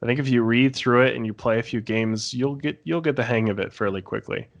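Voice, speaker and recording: A man on a podcast panel chatting casually, explaining his view with frequent pauses. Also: mid-range voice, vocal fry, dull audio. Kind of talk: casual chat about gaming.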